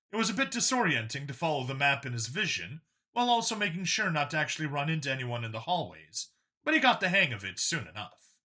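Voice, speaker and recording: Bright, forceful male story narrator. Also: a little high. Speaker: audiobook narrator. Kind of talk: dramatic scripted narration of science fiction.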